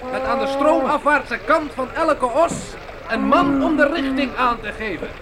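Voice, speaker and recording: A man's voice: very high-pitched, heavily acted. An actor playing a father who leads a wagon train and gives firm orders to his men in a radio play. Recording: very noisy old radio recording.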